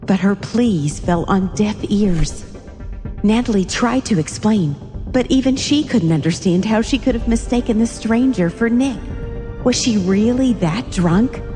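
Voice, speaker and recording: A woman's voice: mid-range voice, expressive, a little slow, mellow, faint music behind. A woman reading scripted story narration with suspenseful, dramatic phrasing.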